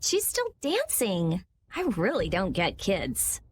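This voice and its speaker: A woman's voice: medium pitch, wildly swooping pitch, slow pace, slight background noise. A woman playing a blunt, prickly teenage girl in a video game, with a dry, grumbling manner. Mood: grumpy.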